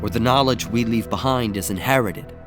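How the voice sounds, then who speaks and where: An actor playing a brooding, aloof messiah in an audio drama, speaking gravely and deliberately. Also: male voice, mid-range voice, music in the background, close to an everyday voice. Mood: grave, detached. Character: brooding male messiah, aloof puppet of cosmic powers.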